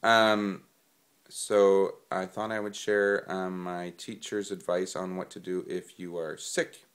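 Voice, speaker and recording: A low-voiced man speaks to his viewers while still stuffed up from a cold. He rambles a bit, with lots of ums and restarts, in a casual, chatty way. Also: flat delivery, slow pace, a bit bright. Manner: rambling with many fillers. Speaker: singing vlogger. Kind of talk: casual spoken tips to camera.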